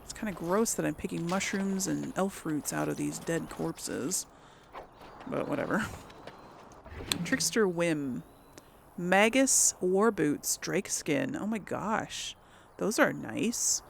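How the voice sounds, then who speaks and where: A woman's voice: on the low side, animated, takes it slowly, creaky, a little hiss or hum. A woman hosting casual video game commentary, thinking aloud as she plays. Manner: thinks aloud while playing. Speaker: gaming host.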